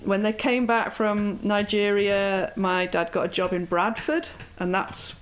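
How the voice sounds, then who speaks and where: A woman's voice: mid-range voice, fairly even intonation, unhurried. Woman with a mild Yorkshire accent. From Yorkshire, England. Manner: chatty, lots of ums and uhs. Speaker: guest, yoga teacher. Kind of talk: casual interview chat.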